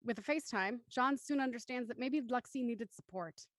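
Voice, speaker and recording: A woman's voice: on the high side, moderate pace, no echo. A woman presenting as a show host, reading out introductions and narration in a scripted presenting style. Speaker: host of a live-streamed audio drama show.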